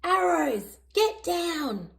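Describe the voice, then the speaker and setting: High young puppy girl, acted by a woman, eager, bossy, storybook cartoon character, calling to friends. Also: big pitch swings, extremely slow, vocal fry, heavily acted. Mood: urgent shouting.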